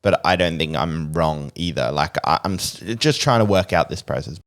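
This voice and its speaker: A young Australian man with a very low, deep voice hosts a casual podcast conversation. He talks in a relaxed, hesitant way, full of fillers and restarts. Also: normal speed. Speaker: podcast host.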